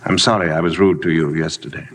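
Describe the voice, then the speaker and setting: An actor playing an aloof, dryly ironic wealthy gentleman in golden age radio drama style, curt and commanding under formal politeness. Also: sits low, fairly even intonation, quick pace, slight background noise. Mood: politely curious. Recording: vintage radio broadcast with faint music.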